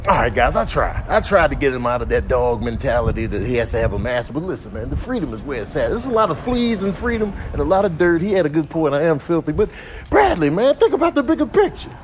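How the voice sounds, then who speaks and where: A man's voice: on the high side, very animated, fast, background noise. A man voicing a scruffy, free-spirited stray dog, pushy and slangy, coaxing emphatically in a comic animal voiceover. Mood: urging.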